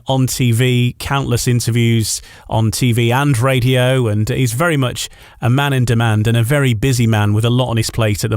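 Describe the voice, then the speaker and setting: A man's voice: medium pitch, no room sound. Male radio interviewer with a dry, close sound. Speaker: radio host interviewing a guest.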